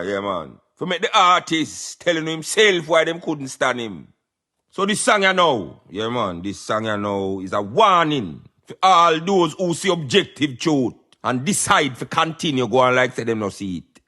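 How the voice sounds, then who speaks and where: A man's voice: mid-range voice, pushed and bright. A Jamaican man hosting a talk radio show, giving emphatic, preaching commentary in Patois.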